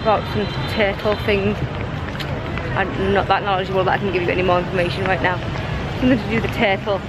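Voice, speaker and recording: A woman's voice: mid-range voice, flat delivery, fast. A woman in her thirties with a slight speech impediment, chatting casually to a handheld camera as a travel vlogger. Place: busy outdoor cafe, street and theatre at night. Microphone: handheld camera at arm's length. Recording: noisy public places, loud crowd and ambience.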